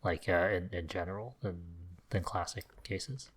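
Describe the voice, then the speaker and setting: A man's voice: low voice, normal speed, breathy edge. A man talking casually as a co-host on a podcast. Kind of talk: casual chat.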